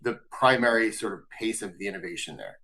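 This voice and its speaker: A man's voice: fairly low pitch, animated, a little fast, vocal fry, sharp tone, poor audio. A man hosting a business webinar, asking long, loose questions full of fillers. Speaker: webinar host.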